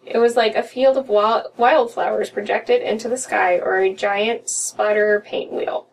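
A woman's voice: medium pitch, fairly even intonation, a little slow, vocal fry. A young American woman co-hosting a podcast, reading a story aloud in a room at home. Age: young adult. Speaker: podcast co-host reading horror stories. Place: small room at home, indoors. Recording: distant mic with room noise.

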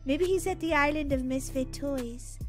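A woman's voice: sits high, moderate pace, faint music behind, lightly acted. A woman voicing a sassy, sceptical little girl with a childlike, chiding manner in a gaming video.

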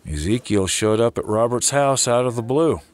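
An actor playing a beleaguered, stubborn sheriff in an audio drama, firm and weary. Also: male voice, medium pitch, moderate pace, natural voice. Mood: measured.

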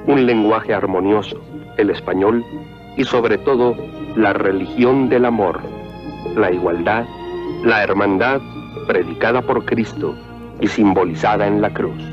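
A man narrating history from a script in a solemn, formal, measured way. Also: fairly low pitch. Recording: muffled lo-fi old film soundtrack. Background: loud music.

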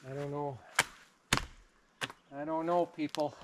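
A man's voice: fairly high pitch, fairly even intonation, takes it slowly. A man hosting a camping vlog, talking in a casual, folksy and playful way outdoors at a campsite. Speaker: host of a camping trip vlog. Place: outdoors at a wooded lakeside campsite. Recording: noisy outdoor recording with rain patter. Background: rain and outdoor noise.

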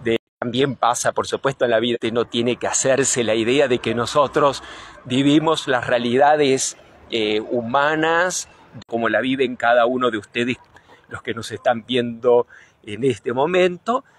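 A man's voice: medium pitch, unhurried, slight background noise. A middle-aged Argentine man, a priest being interviewed, speaking reflectively and earnestly, often searching for his words. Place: outdoors in front of a church. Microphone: handheld reporter microphone.

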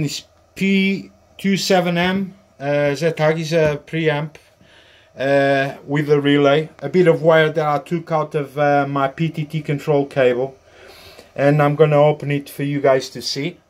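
A man giving an informal hands-on demonstration as a tutorial host, speaking in a halting, hesitant way. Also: on the high side, level tone. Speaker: host of a hobby radio tutorial. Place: small indoor workbench area.

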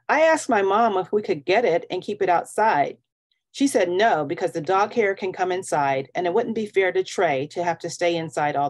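A woman's voice: on the low side, darkish tone. A woman voicing a whiny, sulky and dramatic young girl in a children's storybook.

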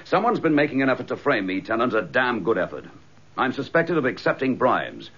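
A man playing a steady, determined police inspector in a radio drama, measured and authoritative, with a kindly streak. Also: mid-range voice, breathy edge, heavily acted. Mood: firm. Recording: dull lo-fi radio drama recording.

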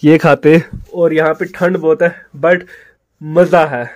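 A man's voice: a little high, steady tone, slow, darkish tone, no echo. A young man chatting casually to his phone camera on a cold, rainy hillside, vlogging a motorbike trip. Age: young adult. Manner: chatty, talks to camera while riding trip. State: feeling cold. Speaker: travel vlogger and biker. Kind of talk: casual travel vlog. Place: outdoors on a rainy hillside camp. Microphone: handheld phone selfie.

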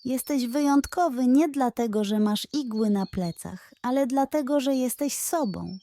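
Kobieta grająca figlarnego, mądrego lisa. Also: male voice, very high-pitched, a little slow, mellow. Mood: reassuring. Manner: teasing yet reassuring. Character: friendly, playful, wise young male fox.

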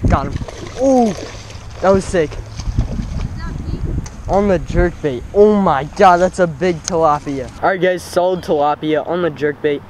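A young man commenting live on his fishing outdoors by a pond, breaking into excited exclamations with every catch. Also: a little high, unhurried, pauses often, background noise. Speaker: fishing vlogger.

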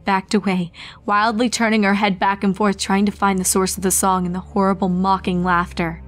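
A woman's voice: mid-range voice, little pitch movement, normal speed. A woman reading a horror story aloud as a dramatic scripted narration. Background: music.

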